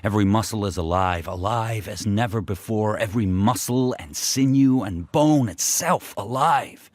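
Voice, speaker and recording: Man acting proud battle-worn sled dog, very low voice, deliberate and intense, short poetic phrases, radio drama narration. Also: no echo, lightly acted. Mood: exultant, fierce. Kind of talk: dramatic first-person radio drama monologue.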